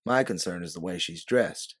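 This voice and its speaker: A man's voice: deep voice, normal speed, husky. A man voicing an exasperated, commanding woman time traveller in a story. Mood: urgent.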